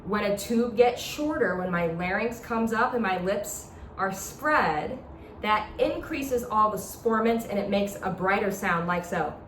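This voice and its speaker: A woman's voice: mid-range voice, lively intonation, a little slow, fairly bright tone. A woman instructor explaining step by step in an informal, demonstrative lecture style. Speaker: instructor demonstrating a talk box. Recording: echoey, distant mic with background noise.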